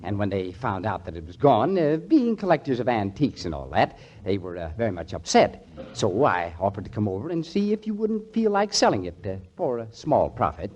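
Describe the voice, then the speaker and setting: A man's voice: lowish voice, big pitch swings, brisk, darkish tone. A man playing a polite, fussy, hesitant furniture dealer in 1940s radio comedy. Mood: courteous. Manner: hesitant, courteous. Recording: muffled vintage 1940s radio recording.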